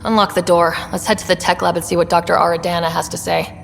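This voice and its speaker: An actress playing a young space explorer in a video game, talking in a casual, conversational way. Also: female voice, lowish voice, flat delivery, fast talker, creaky. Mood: relaxed, casual. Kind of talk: acted video game dialogue. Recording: long reverb, music behind.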